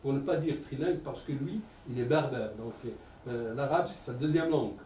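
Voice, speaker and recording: A man in his sixties with an Arabic accent, giving an animated public talk with many repeated words. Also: medium pitch, moderate pace, a little hiss or hum. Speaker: lecturer. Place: lecture room. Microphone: table stand mic. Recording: echoey room, mic at a distance.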